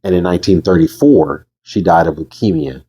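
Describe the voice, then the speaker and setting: A man reading a book aloud as host, casually and emphatically, with informal chatty asides and a husky voice. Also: low voice, fairly quick, warm tone, highs cut off. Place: bedroom. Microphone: new microphone.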